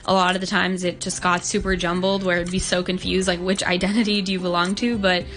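Podcast guest: a nineteen-year-old woman, earnest and thoughtful, slightly low voice, faint music behind. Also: level tone, fairly quick, fairly bright tone. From Hong Kong. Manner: earnest, thoughtful, many "like" fillers. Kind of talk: casual interview answers.

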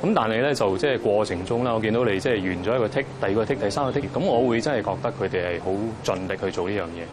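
A low-pitched man speaks Cantonese with a Hong Kong accent, reflecting as a teacher in an interview. Music plays behind him. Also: racing, hardly any pauses. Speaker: secondary school Chinese teacher.

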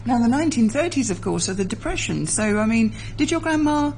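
A woman's voice: fairly high pitch, expressive, brisk, a little mellow, a little hiss or hum, low-quality recording. Curious woman interviewer. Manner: curious, asks probing follow-up questions. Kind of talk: conversational interview.

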